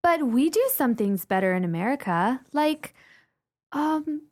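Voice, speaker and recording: Radio drama: actress as eager, inquisitive woman, theatrical, higher pitch, lively intonation. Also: measured pace. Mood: playful. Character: playful, eager American female listener who loves ghost stories. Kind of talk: radio play acting.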